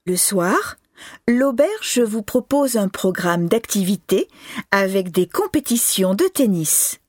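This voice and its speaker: A woman reading an information notice slowly and clearly as the reader of a language listening exam. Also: medium pitch, very animated, a little mellow, no room sound, clean studio sound. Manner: slow, deliberate, clearly articulated.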